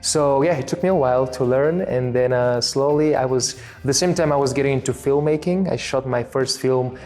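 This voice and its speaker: A man in his thirties from Bulgaria with a Bulgarian accent, telling his story in a relaxed interview, pausing often with fillers and restarts. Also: medium pitch, soft background music. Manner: halting, many fillers and restarts. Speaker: interview guest, filmmaker. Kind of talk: casual interview talk.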